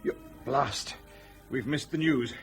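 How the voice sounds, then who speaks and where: An actor playing a tactful, wry family friend who probes gently and a little hesitantly in a radio drama. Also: male voice, mid-range voice, takes it slowly, over music, natural voice. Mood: wry, probing.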